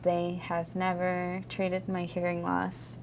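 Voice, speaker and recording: A woman's voice: on the low side, monotone, slow, creaky, slight background noise. A woman in her twenties giving a personal testimony as an interviewee.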